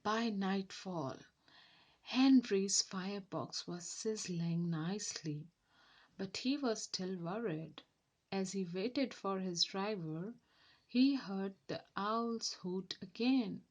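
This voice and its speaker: A woman reading a children's story aloud as an expressive narrator. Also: medium pitch, slow pace.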